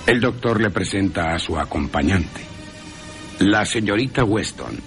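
A man's voice: deep voice, lively intonation, measured pace. A man with an accent from Spain giving scripted, theatrical and wry narration as a radio presenter. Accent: Spanish (Spain). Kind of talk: scripted dramatic narration of a film, with character voices. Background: music.

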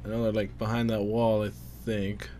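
A man's voice: on the low side, completely flat delivery, normal speed, warm tone, slight background noise. A man giving casual, frustrated gameplay commentary, muttering and swearing as he plays. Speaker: gamer playing a shooter. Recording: game gunfire and ambience behind. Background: war game sounds, gunfire.

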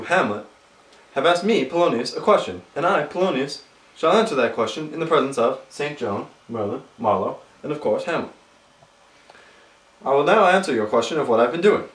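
A pompous, ponderous courtier played by a man in a stage comedy, heard in an echoey room from a distance. He is formal and long-winded, circling back over his phrases in a mid-pitched voice. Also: animated, fairly quick, lightly acted. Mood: self-important. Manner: repetitive, formal, ponderous, stalling. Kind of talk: comic stage play acting.

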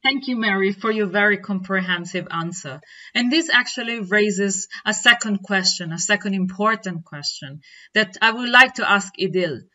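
A woman's voice: mid-range voice, sharp tone, muffled recording. A woman lecturer interviewing students over a video call, speaking formally. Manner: formal, measured questioning. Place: home room with bookshelf. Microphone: video call webcam.

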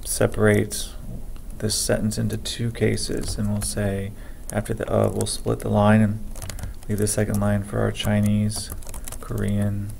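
A man with a low, gravelly voice walks through a coding tutorial, reading each line of code aloud in a flat, unhurried way. Faint background noise and keyboard typing sit behind him. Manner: slow, halting, dictates code aloud with many pauses. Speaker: programming teacher. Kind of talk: screen-recorded coding tutorial.

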